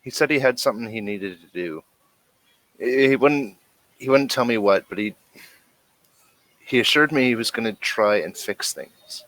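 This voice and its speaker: A man playing an anxious, shaken ship navigator in a tabletop role-play, speaking haltingly and stammering. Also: medium pitch, fairly bright tone, dry recording, lightly acted. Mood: shaken, fearful. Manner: hesitant, stammering.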